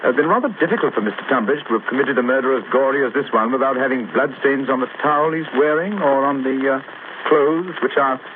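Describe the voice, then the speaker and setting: An actor playing a self-assured, needling gentleman detective, precise and condescending, with a British accent, in a 1940s radio drama. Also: medium pitch, fast, natural voice. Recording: noisy, tinny old radio recording.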